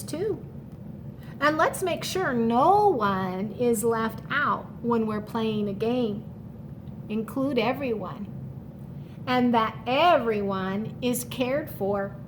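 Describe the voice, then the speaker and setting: A woman with a high voice reads a children's picture book over clearly audible background noise. She takes her time with each line. She adds simple, encouraging asides straight to the young listener. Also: expressive, slow pace. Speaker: storytime reader for children.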